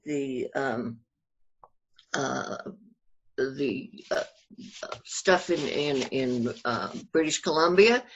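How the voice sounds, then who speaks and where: An older woman being interviewed, telling family anecdotes conversationally in a halting but animated, storytelling manner, on muffled audio. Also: low voice. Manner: halting, animated retelling with acted-out quotes.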